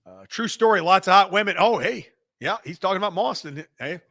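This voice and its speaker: A man with a Chicago accent, his voice gravelly and sharp and sitting a little high. He talks loud and rowdy, swears freely, and bursts into laughs while he banters on a live show. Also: expressive, brisk. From Midwestern United States. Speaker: podcast host reading live chat.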